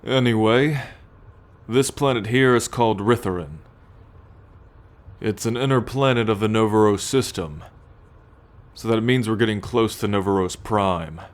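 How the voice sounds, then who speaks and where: A man playing a possessive, haughty alien soldier in an ASMR roleplay, speaking in a measured, imperious way with musing hums and sighs. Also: lowish voice, lightly acted. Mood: proud, musing. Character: possessive male alien soldier, haughty, teasing, coolly menacing captor. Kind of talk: ASMR roleplay acting, addressed to the listener.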